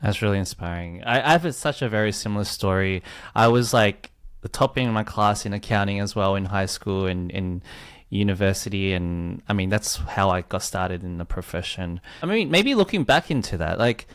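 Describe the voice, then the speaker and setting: A man's voice: fairly low pitch, lively intonation, moderate pace, smooth. A man hosting a podcast, reading the guest's introduction and then chatting upbeat with him. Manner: upbeat, warm praise of guest. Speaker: podcast host. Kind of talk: scripted intro, then casual interview.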